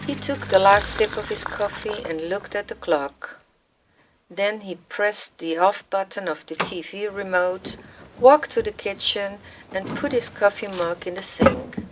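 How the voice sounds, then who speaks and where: A woman's voice: medium pitch, a little slow, background noise. A woman reading scripted story narration as the narrator of a radio play. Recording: music laid under the voice.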